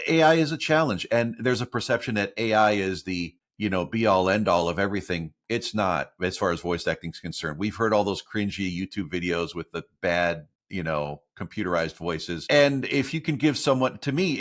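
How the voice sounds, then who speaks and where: A man's voice: on the low side, normal speed, few pauses, a bit bright. A middle-aged man, a voiceover artist, talking earnestly about his work as an interview guest. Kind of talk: casual interview talk.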